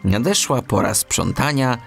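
Slow, animated male storyteller, slightly creaky. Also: mid-range voice, soft background music. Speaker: audiobook narrator. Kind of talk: expressive children's story narration.

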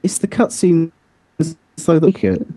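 A man's voice: fairly high pitch, very animated, measured pace, warm tone. A man co-hosting a podcast in a casual debate about video games, insistent and contrarian in how he talks. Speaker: podcast co-host.

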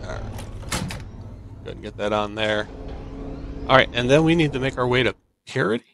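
A man's voice: medium pitch, expressive, normal speed, gravelly, background noise. A man giving casual game commentary, thinking aloud in short phrases. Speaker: gameplay streamer.

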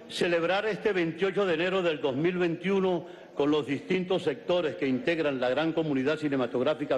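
Older Venezuelan man reading a resolution aloud. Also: fairly high pitch. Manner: measured, declamatory listing. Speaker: legislator. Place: large legislative chamber, at a podium. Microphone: podium microphones. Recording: long reverb of a large hall.

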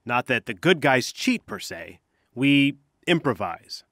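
An actor playing an earnest, wry scientist who leads resistance fighters, briefing in a conversational, clipped way in a video game. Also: male voice, medium pitch, big pitch swings, measured pace, fairly bright tone, no room sound, studio quality, exaggerated voice. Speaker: mission dispatcher giving orders and updates.

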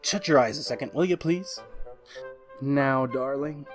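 A henpecked, flustered husband, acted by a woman in old radio drama style. The voice is very deep and slow, hesitant and confiding, with faint music underneath. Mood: fond, flustered. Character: nervous, henpecked husband, a university history teacher. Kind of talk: retro 1940s radio drama, first-person narration.

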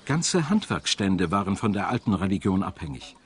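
Male documentary narrator, lowish pitch, animated intonation, clear articulation, scripted explanatory delivery. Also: moderate pace. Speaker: documentary narrator and voice-over translator. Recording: music behind the voice. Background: background music.

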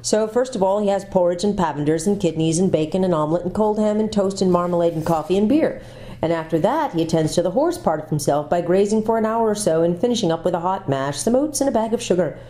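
A woman's voice: fairly low pitch, lively intonation, quick pace, dark tone, lightly acted. A woman playing a polite eager fawn. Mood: earnest. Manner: chatty, earnest, courteous.

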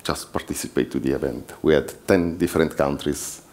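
A man's voice: very deep voice, fairly even intonation, fairly quick, darkish tone. A man from southern Switzerland with an Italian accent, presenting at a developer conference as one of its organizers, in English with some slips and restarts. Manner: non-native English with restarts, slips and laughs. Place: conference hall.

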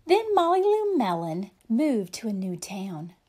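Slow, higher-voiced woman reading a picture book. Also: sing-song, mellow. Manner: simple explanatory asides addressed to children. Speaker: teacher reading aloud to young pupils. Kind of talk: expressive picture-book read-aloud for children.

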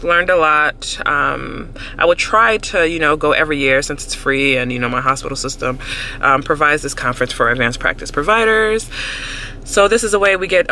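A woman in her thirties, a nurse practitioner, chatting to viewers in a raspy voice from a cold. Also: sits low, bright, forceful tone, a little hiss or hum. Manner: chatty, addresses viewers directly. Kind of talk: casual vlog chat. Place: parked car and conference building. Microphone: handheld phone at arm's length.

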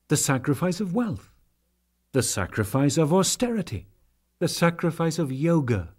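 A man narrates sacred verse as a dramatic reading, solemn and measured, with deliberate phrasing and frequent pauses. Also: mid-range voice, darkish tone.

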